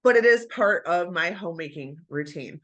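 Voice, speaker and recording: A woman vlogger with a bright, forward tone sitting a little low in pitch. She talks casually and warmly to her viewers, encouraging them along. A stuffy nose from a recent flu colors her voice. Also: animated, normal speed. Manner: chatty, encouraging, addresses viewers as you guys. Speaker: homemaking vlogger. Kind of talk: casual chat to camera.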